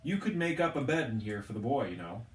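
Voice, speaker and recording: A man voicing a reserved, worldly undead gentleman in a storybook reading, dry and matter-of-fact. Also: mid-range voice, big pitch swings, brisk, lightly acted. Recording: roomy, echoing, distant from the mic.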